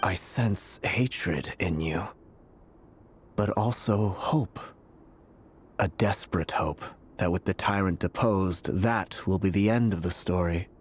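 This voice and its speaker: Deep, weary, solemn prophet. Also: male voice, a little hiss or hum. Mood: knowing, accusing. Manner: grave, deliberate, eloquent. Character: weary, blinded male prophet, solemn and fatalistic. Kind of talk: video game voice acting. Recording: game dialogue with music behind.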